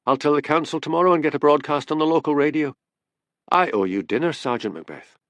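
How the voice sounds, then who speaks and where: A man voicing a charming, cunning woman who flatters and appeals in a gushing manner, in an audiobook story. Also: a little high, quick pace, dry recording, voice pushed up, lightly acted. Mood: flattering.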